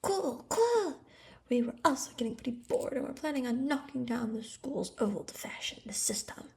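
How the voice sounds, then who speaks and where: A woman's voice: high-pitched, expressive, moderate pace, vocal fry, mellow. A woman voicing a cheeky, upbeat teenage idol schoolboy, playful and breezy, in an anime game fan dub. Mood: upbeat, eager.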